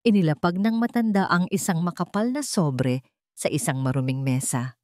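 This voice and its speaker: A woman tells a dramatic story as a scripted narration. Her voice sits fairly low, and the recording is dry and close, with little room sound. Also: lively intonation, normal speed, darkish tone, no echo.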